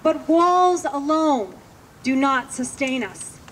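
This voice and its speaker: A woman's voice: high-pitched, expressive, slow, a bit bright. A woman giving a solemn poetry reading at an outdoor ceremony. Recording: faint outdoor background noise.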